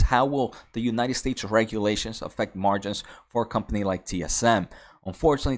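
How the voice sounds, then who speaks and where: A man hosting an investing video, explaining things informally and step by step as commentary for viewers. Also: lowish voice. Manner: informal, walks through numbered questions step by step. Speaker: host of a stock investing video channel.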